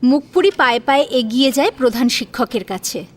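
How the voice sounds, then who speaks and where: A woman's voice: high-pitched, animated, a little fast. A woman narrating a scripted audio story in a dramatic storytelling style.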